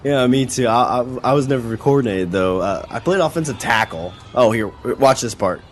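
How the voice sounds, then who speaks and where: Actor playing nervous stammering dad, audio drama; lively up-and-down delivery, mid pitch. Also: male voice, normal speed, slight background noise, close to an everyday voice. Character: nervous small-town father with a strange video. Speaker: witness.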